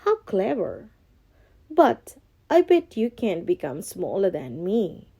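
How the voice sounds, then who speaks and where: A woman's voice: medium pitch, wildly swooping pitch, takes it slowly, vocal fry, mellow, slightly rough, dull audio, heavily acted. A woman voicing a clever, bold male cat from a storybook, courteous and coaxing in a theatrical way. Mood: teasing.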